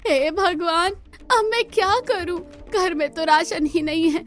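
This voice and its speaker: A woman's voice: very high-pitched, a little slow, faint music behind, pitched above the performer's own voice. A woman voicing a stubborn village wife in a cartoon story, with a scolding, insistent manner. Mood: distressed.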